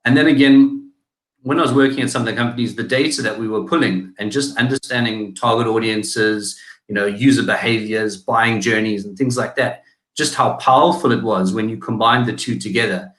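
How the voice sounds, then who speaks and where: A man's voice: fairly low pitch, fairly bright tone, reverberant, low-quality recording. A man with a South African accent, a business owner talking as a guest in a casual interview, often restarting his sentences. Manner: analytical, halting with many restarts and fillers.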